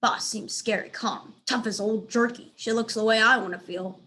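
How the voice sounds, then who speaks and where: High-voiced woman narrating a children's book. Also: fairly even intonation, moderate pace, bright, forceful tone. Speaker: teacher reading aloud.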